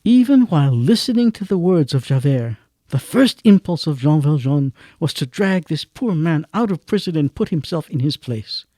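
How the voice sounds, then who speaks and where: An actor playing a grave storyteller, narrating a radio drama in measured, declamatory phrases. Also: male voice, on the high side, lively intonation, mellow, dry recording, close to an everyday voice. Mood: solemn. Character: grave literary storyteller narrating a moral tale.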